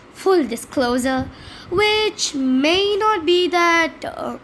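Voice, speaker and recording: High-pitched woman reading a storybook, noisy recording. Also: fairly bright tone. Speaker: storybook read-aloud channel host. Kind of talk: reading a children's storybook aloud.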